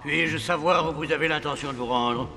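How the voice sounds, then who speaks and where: An actor playing a scheming, vengeful king and domineering father in a fairy-tale cartoon, gravelly and theatrically pompous. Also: fairly high pitch, moderate pace, natural voice. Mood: smug, gloating. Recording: hall reverb, loud music behind.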